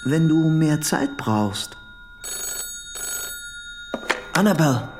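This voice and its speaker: A man's voice: on the high side, expressive, slow, noisy background. An actor in a radio drama playing an obsessive, deluded lovesick man, intense and insistent. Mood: pleading.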